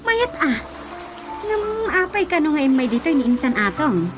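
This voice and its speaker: A woman's voice: high voice, big pitch swings, normal speed, music in the background. A woman with an Ilocano accent playing a questioning village woman in a radio comedy drama.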